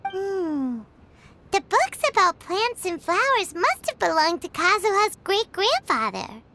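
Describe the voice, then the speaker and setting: Squeaky, very high little fairy girl. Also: measured pace, a little hiss or hum, full character voice. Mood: pondering. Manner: speaks of self in third person, squeaky, expressive. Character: tiny excitable childlike fairy girl companion. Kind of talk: video game voice acting.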